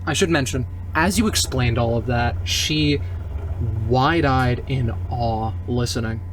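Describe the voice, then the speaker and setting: A man of middle pitch narrates an improvised roleplay scene as the game master. A faint noisy hum runs behind his voice. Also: a little slow.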